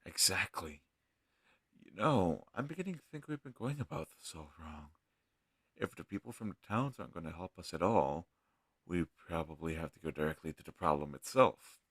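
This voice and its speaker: A man playing an earnest, gentle healer mage in a fantasy audio roleplay, talking hesitantly and thoughtfully to a travel companion. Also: low voice, expressive, moderate pace, breathy edge, no room sound, full character voice. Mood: purposeful, explaining. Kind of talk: scripted fantasy audio roleplay addressed to the listener.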